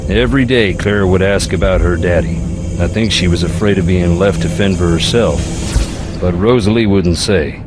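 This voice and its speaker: An actor playing a brooding, philosophical young ghost hunter in a video game, with a Southern US accent and a wry, folksy drawl. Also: male voice, sits low, normal speed, music in the background, natural voice. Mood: reflective. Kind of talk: video game character dialogue.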